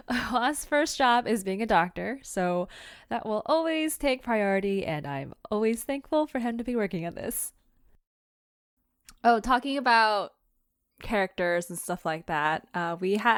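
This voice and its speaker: A woman's voice: a little high, no room sound, studio quality. A woman in a casual chat, hosting a podcast and giggling often as she talks. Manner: giggly, often laughs while talking. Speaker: fiction podcast writer and host.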